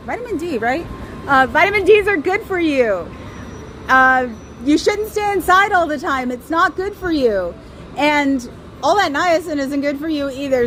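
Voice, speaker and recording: High-voiced woman rambling over street noise. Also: fairly bright tone. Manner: rambling, sarcastic, talks straight to listeners. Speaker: livestream host. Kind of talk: casual livestream chat.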